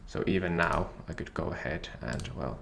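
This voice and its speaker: A man whose first language is Finnish, calmly and methodically walking through a software tutorial. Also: rumbling low, normal speed, creaky, slight background noise. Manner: calm, methodical explaining. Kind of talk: screen-share software tutorial.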